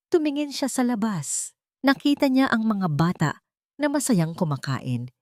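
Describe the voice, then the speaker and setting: A woman narrates a scripted dramatic story in measured, emotive short phrases. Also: deep voice, darkish tone, dry recording, studio quality.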